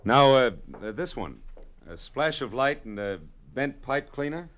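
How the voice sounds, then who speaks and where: A man's voice: medium pitch, expressive, a little slow, a bit bright, a little hiss or hum. An actor playing a dry, wisecracking hard-boiled male detective in a vintage radio drama. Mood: casually curious. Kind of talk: 1940s radio detective narration and acting. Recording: dull old radio recording.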